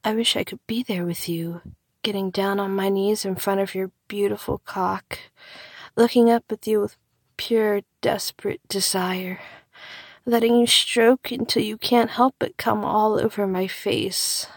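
A woman speaks in a slow, seductive murmur with a husky, breathy voice and some fry at the edges. It is an intimate erotic audio monologue, recorded close to the mic, often slipping toward a whisper. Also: mid-range voice, fairly even intonation, no echo. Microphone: close microphone, dry.

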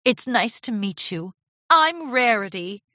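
A woman's voice: a little high, animated, slow, bright, forceful tone, dry recording, studio quality, voice pushed up, full character voice. A woman voicing a glamorous, self-assured female pony fashion designer in a storybook, with a grand, affected, dramatic manner. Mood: touched, warm.

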